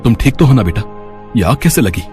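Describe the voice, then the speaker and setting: A man voicing a calm, kindly, mild-mannered father in a moral story cartoon. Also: mid-range voice, brisk, faint music behind, lightly acted. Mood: concerned.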